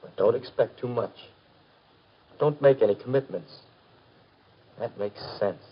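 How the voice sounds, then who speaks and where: An earnest American teenage boy in a 1950s instructional film. His voice is slightly rough and his manner polite and mild. The recording has a muffled vintage soundtrack. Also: medium pitch, unhurried. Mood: thoughtful. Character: earnest, polite teenage son and high-school boyfriend. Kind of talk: 1950s educational film acting.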